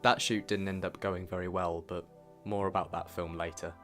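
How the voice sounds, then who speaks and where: A man reading a scripted, reflective year-in-review narration in a measured way as a vlog narrator. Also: low-pitched, flat delivery, a bit bright, faint music behind.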